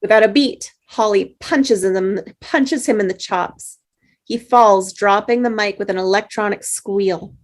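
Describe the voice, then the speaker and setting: A woman with a mid-pitched voice reads screenplay action lines at a table read. Her delivery is plain, and she trips over words here and there. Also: unhurried. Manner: stumbles on words while reading. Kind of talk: script reading.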